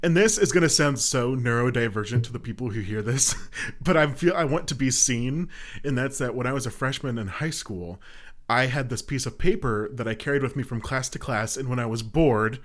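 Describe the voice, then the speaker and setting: A man's voice: medium pitch, animated, a little fast. Young podcast host guy, chatty, laughs a lot, lots of likes and ums. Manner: rambling, laughs often, many fillers. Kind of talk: casual chat.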